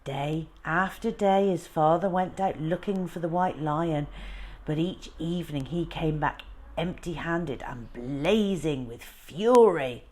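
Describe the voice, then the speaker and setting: A woman narrating a children's storybook expressively, with measured, dramatic delivery that can drop to a hush. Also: sits low.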